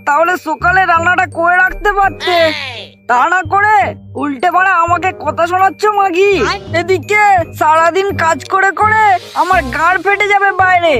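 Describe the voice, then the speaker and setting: A woman's voice: extremely high pitch, bright, forceful tone. A woman voicing an angry, suspicious husband in a comic cartoon, scolding in crude language. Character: angry, suspicious, foul-mouthed working husband. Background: music.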